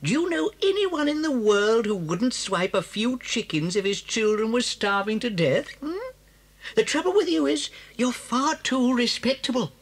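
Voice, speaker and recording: A man's voice: extremely high pitch, lively intonation, full character voice. A man with a British accent playing a clever, cocky father fox in a children's audio drama, theatrical and persuasive. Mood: sly, persuasive. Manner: theatrical, sly, drawn-out phrasing.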